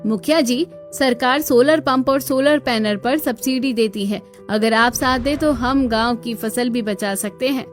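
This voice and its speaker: A woman's voice: a little high, unhurried, few pauses, faint music behind, natural voice. A woman voicing an outspoken, earnest young daughter-in-law in a Hindi cartoon story, speaking assertively and persuasively.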